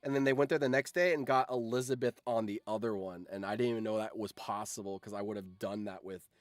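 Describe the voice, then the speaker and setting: A man's voice: lowish voice, steady tone, quick pace, dry recording. A man chatting casually on a livestream, telling anecdotes in an informal, sweary, enthusiastic fan's way. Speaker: streamer and voice actor.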